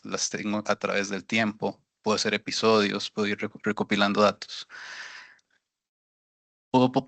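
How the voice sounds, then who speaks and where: A man's voice: on the low side, sharp tone. A man from Costa Rica asking a question at a workshop, hesitant and searching for words. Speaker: workshop participant. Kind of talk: audience question.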